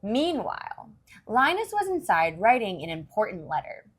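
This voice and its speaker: A woman's voice: medium pitch, very animated, normal speed. A woman reading a story aloud to young children, expressively, with simple explanations along the way. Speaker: children's story reader and host.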